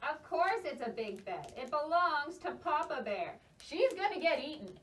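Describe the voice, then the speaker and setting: A tiny, very high-pitched mouse frets through a children's puppet show. It is skeptical and easily scandalized, exclaiming nervously. The sound sits a little away from the microphone. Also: female voice, sing-song, normal speed, a bit bright, recorded from a distance. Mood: worried.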